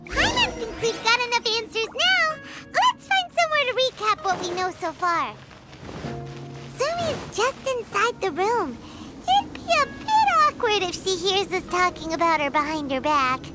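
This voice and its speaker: A woman voicing a tiny, chirpy, childlike fairy companion in a video game, with an excitable manner. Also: extremely high pitch, moderate pace. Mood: explaining, upbeat. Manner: speaks of self in third person, excitable. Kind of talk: video game character dialogue. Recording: loud music over the voice.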